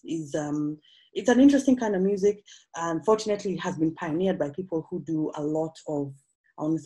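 A woman with a Kenyan English accent speaks in a low, mellow voice. She chats freely and animatedly, stopping and restarting her thoughts as she answers questions. She is heard over a laptop video call from a room at home. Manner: animated, gesturing hands, chatty with frequent restarts. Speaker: musician guest speaker. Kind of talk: casual talk answering audience questions. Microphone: video call laptop camera.

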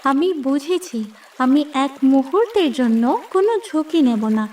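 A woman's voice: sits high, animated, moderate pace, darkish tone. A woman voicing a tiny, clever water creature in a fairy-tale cartoon, with an earnest, childlike manner. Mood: eager, confident. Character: tiny clever water creature, eager, careful little helper. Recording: distant voice with music bed.

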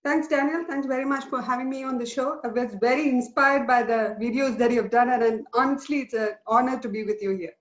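A woman's voice: high-pitched, steady tone, a little fast, hardly any pauses. A woman from India, a company vice president, answering interview questions at home over a video call, reflective and full of "you know" fillers. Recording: roomy, dull lo-fi video call audio.

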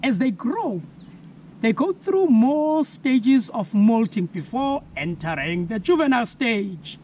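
Slow-speaking South African man teaching kids. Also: very high-pitched, very animated, a little mellow, a little hiss or hum. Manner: slow, clear, asks viewers to spell words. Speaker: environmental educator. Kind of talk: children's science lesson. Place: outdoors on a lawn.

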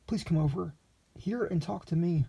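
A man reading aloud and voicing a naive, excitable young man who gushes over his food in breathless exclamations, pitched well above his own voice. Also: moderate pace, creaky, husky, dull audio, full character voice. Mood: rapturous.

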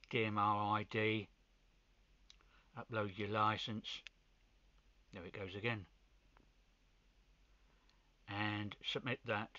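Male hobby presenter, slow halting informal delivery, forceful bright tone, dull-sounding close recording. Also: fairly low pitch, dry recording. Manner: halting, pausing between short phrases. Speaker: amateur radio hobbyist presenter. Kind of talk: informal hands-on video demonstration.

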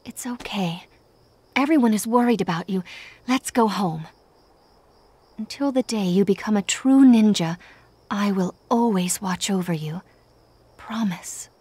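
A resolute young shrine maiden in a video game. Her voice is breathy and soft, paced slowly and recorded dry. She sounds earnest and caring. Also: mid-range voice, dry recording. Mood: tender, reassuring. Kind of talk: video game drama acting.

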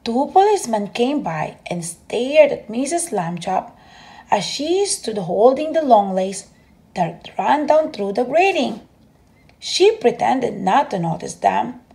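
A woman reading a children's bedtime story aloud as an expressive storyteller. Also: a little high, takes it slowly, vocal fry.

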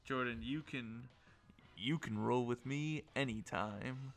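A man's voice: medium pitch, slow pace, noisy background. A young man in casual gaming banter, playful and jokey, talking as host and player over voice chat. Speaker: host and player of an online game battle. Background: game music.

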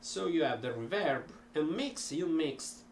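Italian-accented guy, middle-aged, talks real slow with lots of ums, desk webcam at home, bit of faint hum. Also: on the high side, expressive. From Italy. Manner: slow, rambling, many ums and restarts. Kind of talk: casual talk to camera. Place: cluttered home music room.

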